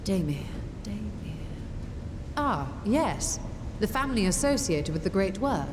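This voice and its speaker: An eager, scholarly young adventurer in a fantasy game. She is a confident, courteous woman who phrases things formally and clearly. Her medium-pitched voice sits over a clearly audible rumble. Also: big pitch swings, a little slow. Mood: pondering. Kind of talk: video game cutscene acting.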